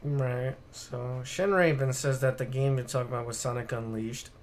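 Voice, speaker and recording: A man's voice: medium pitch, steady tone, normal speed, a little hiss or hum. A man co-hosting a casual video game podcast on a live stream, ranting bluntly with plenty of swearing. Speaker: podcast co-host.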